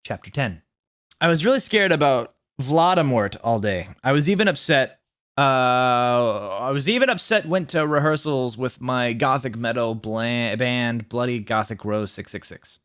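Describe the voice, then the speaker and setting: A man's voice: medium pitch, animated, a little slow, fairly bright tone, dry recording, studio quality. A man reading a story aloud in a theatrical way, stumbling over the text now and then. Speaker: video host reading fan fiction aloud. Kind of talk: expressive story reading with ad-libbed asides.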